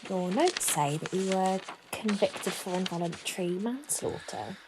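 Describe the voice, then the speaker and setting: A woman's voice: medium pitch, lively intonation, unhurried, background noise. A woman playing a formal, probing, authoritative detective inspector in an audio drama. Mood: stern, probing. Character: stern, probing female police detective inspector leading interrogation.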